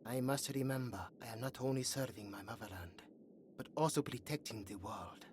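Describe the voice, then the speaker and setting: A woman playing a young, earnest and chatty elven guard in a fantasy audio roleplay, musing aloud in a soft, hesitant way. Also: rumbling low, a little fast, slight background noise. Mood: bored, dutiful.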